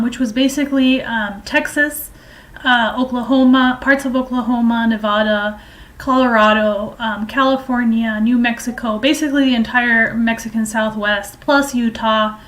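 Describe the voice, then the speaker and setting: Woman w a highish voice explaining politics and history informally, kinda halting and hesitant. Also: fairly even intonation, fairly bright tone.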